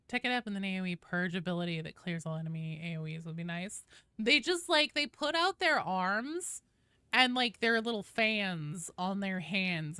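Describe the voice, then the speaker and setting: Bright, clear-voiced woman streaming games. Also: medium pitch, no room sound. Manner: chatty, casual swearing. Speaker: game streamer and host. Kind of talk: casual livestream chat while gaming.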